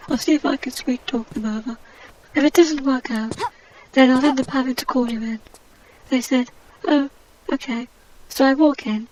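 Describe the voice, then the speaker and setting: A woman putting on a pampered, high-voiced English adventuress who moans with grand indignation about every slight. She speaks in a snooty, theatrical way, full of disdain. A faint hiss sits under the recording. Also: a little mellow, close to an everyday voice, poor audio. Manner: indignant, snobbish, theatrical complaining. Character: aggrieved posh English woman adventurer, snobbish and melodramatic. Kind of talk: comic monologue in character.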